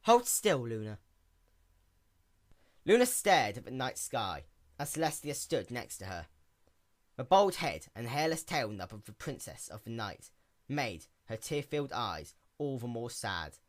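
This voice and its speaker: A man's voice: mid-range voice, big pitch swings, normal speed. A man narrating a piece of fiction in a dramatic story-reading style.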